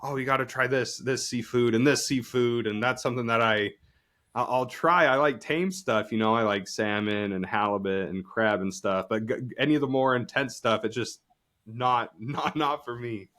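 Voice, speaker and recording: A man's voice: medium pitch, steady tone, normal speed, a bit bright. Easygoing podcast host guy from utah, thoughtful, kinda hesitant. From Utah, USA. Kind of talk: conversational interview.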